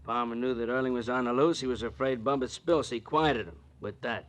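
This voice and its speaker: A man's voice: medium pitch, steady tone, brisk, close to an everyday voice. An American actor playing a brash, hot-headed tough guy in a 1940s radio crime drama, blunt and sarcastic. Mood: blunt, argumentative.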